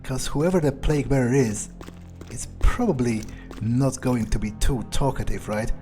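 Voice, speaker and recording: A man's voice: mid-range voice, normal speed, mellow. A man giving in-character gameplay commentary, musing aloud in a sardonic manner. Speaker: gamer playing in character. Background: game music.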